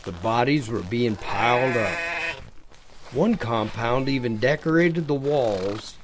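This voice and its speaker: Grave, caring male survivor, acted by a man, mid pitch, slow and measured, noisy outdoor-style soundscape. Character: grave, caring husband surviving after disaster. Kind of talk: audio drama acting. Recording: clearly noisy, clicks and farm animal sounds.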